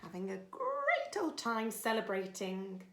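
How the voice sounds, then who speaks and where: A woman reading a children's story as a teacher would, expressively and dramatically, moving between full voice and hushed asides. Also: medium pitch, wildly swooping pitch, unhurried, noisy background. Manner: dramatic, alternating loud lines with hushed asides.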